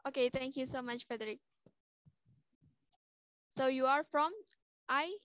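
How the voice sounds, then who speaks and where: A woman with an Indonesian accent hosting an online class, speaking English slowly and carefully. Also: sits high, fairly even intonation, highs cut off, background noise. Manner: slow, careful English with pauses. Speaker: host. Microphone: video call.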